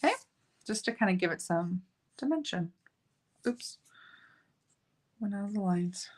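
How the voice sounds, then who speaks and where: Slow, chatty woman demonstrating cards. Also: mid-range voice, expressive. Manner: chatty, self-narrating, laughs at own slips. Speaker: card-making demonstrator. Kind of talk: casual live craft tutorial.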